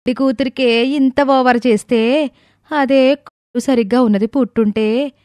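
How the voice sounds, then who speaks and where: A woman's voice: high-pitched, steady tone, measured pace, a little mellow, dry recording, studio quality. A woman playing a jealous, nagging in-law in a moral story, with a scornful, sarcastic delivery. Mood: sneering. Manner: sneering, sarcastic. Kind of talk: dramatized moral story acting.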